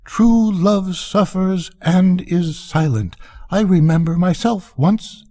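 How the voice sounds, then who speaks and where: A man's voice: sits high, takes it slowly, warm tone, pitched above the performer's own voice. An older man voicing a pensive firework in a storybook who is proud of her broken heart, wistful and self-dramatizing. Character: pensive, lovelorn female-styled Catherine Wheel firework, proudly brokenhearted.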